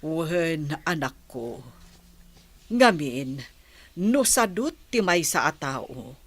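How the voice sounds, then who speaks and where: A middle-aged woman with a Filipino accent playing a patient village mother who gives gentle moral advice in a radio drama. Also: low voice, sing-song, extremely slow, no echo, heavily acted. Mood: earnest advice.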